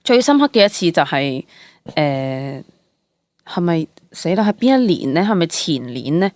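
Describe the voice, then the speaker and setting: A young woman speaks in a lowish voice with a Hong Kong Cantonese accent, recorded dry and close. She chats candidly as a guest, telling things expressively with exclamations and the odd English word. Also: moderate pace, no echo. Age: young adult. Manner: animated storytelling, exclamations, mixes in English words. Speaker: interview guest, stage actor. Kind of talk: casual candid chat.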